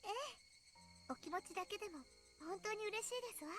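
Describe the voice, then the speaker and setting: A woman's voice: extremely high pitch, expressive, fast, a bit bright, faint music behind. A woman voicing a brave, resigned little schoolgirl in a visual novel game, with prim, overly polite speech. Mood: sad, grateful. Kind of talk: Japanese visual novel voice acting.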